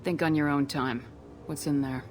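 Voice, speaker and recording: A woman's voice: deep voice, fairly even intonation, normal speed, lightly acted. An actress playing a cool-headed, matter-of-fact female military commander in a video game, clipped and probing. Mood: cool, questioning. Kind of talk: scripted video game dialogue. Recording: loud game music behind.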